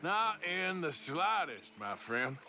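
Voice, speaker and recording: An actor playing a gruff, sardonic cowboy outlaw in a video game, with an American Western drawl and a dry, taunting delivery. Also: male voice, fairly high pitch, big pitch swings, takes it slowly, sharp tone, faint music behind, full character voice. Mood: mocking. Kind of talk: video game cutscene dialogue.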